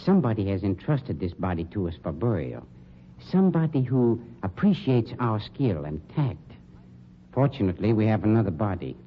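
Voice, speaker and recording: Casting: polished, sarcastic undertaker boss, low and lively voice, old radio crime drama. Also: male voice. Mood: cool scheming. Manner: polished, sarcastic, condescending, deliberate diction. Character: suave, sardonic, polished male funeral home director, shady boss. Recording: dull, noisy old radio recording.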